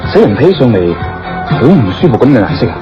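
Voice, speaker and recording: An actor playing a courteous, curious and somewhat evasive adventurous gentleman in a radio drama. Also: mid-range voice, big pitch swings, fast talker, close to an everyday voice. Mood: curious, probing. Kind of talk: Cantonese radio drama acting. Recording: dull lo-fi audio with background music.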